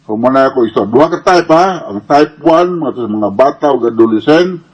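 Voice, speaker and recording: A man's voice: medium pitch, animated, normal speed, a little mellow, lo-fi sound. A Filipino man with a Cebuano Bisaya accent answers listeners' questions as a medical advice host, explaining casually and didactically with frequent check-in tags and English medical terms.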